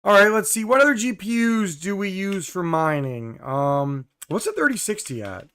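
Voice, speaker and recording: A hoarse young man hosting a casual live stream, reading viewer comments with many fillers and throat clearing. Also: sits high, big pitch swings, moderate pace, a bit bright.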